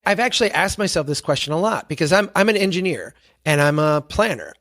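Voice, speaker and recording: Higher-pitched American man explaining ideas. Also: sing-song, fairly quick, a bit bright. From small town in central Minnesota, US. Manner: reflective, explains with rhetorical questions and examples. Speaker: podcast guest, engineer and planner. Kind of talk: explanatory interview talk with anecdotes.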